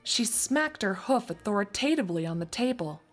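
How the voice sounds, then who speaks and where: A woman's voice: medium pitch, animated, normal speed, faint music behind. A woman narrating a scripted fan fiction story as a storyteller.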